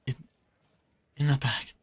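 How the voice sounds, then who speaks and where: A man voicing a shy, bumbling male jacklomire creature with vampire traits, who speaks in a halting, hesitant way. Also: noisy background, natural voice. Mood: nervous, hesitant. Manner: halting, hesitant, many fillers. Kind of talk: audio roleplay drama.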